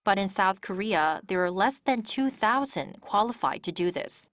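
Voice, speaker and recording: A woman's voice: medium pitch, animated, moderate pace, fairly bright tone, dry recording, studio quality. A woman reporter delivering scripted narration for a television news feature.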